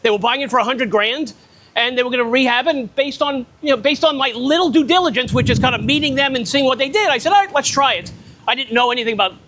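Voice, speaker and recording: A man with a loud voice giving a casual, blunt talk at an investor meetup, speaking without a microphone in a restaurant. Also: very high-pitched. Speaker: guest speaker on private lending. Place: restaurant event space in the rain.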